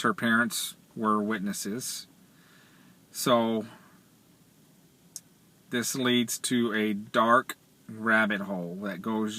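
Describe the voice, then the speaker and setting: A middle-aged man retelling a story in casual, measured, halting speech, sitting in a car. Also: mid-range voice, bright, forceful tone. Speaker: vlogger. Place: inside a parked car. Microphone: camera or phone at arm's length.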